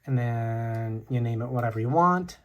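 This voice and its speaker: A tech video host casually walking through a product tutorial in a hesitant, halting, informal way. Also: mid-range voice, highs cut off.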